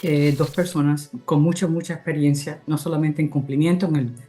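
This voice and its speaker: A woman from Cuba who speaks Spanish as a second language, hosting a webinar with halting, semi-formal welcome remarks and searching for words. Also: sits low, fairly even intonation, dark tone, faint music behind. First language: not Spanish (Spanish is a second language). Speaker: host introducing a webinar.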